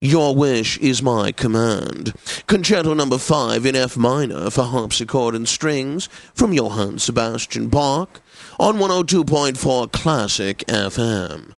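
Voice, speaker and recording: Slow, pompous classical radio DJ. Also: male voice, mid-range voice, animated, gravelly, dry recording. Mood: genteel, self-satisfied. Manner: pompous, affected, deliberate enunciation. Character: pompous, refined male classical radio DJ, drolly genteel. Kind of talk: scripted classical radio presenting.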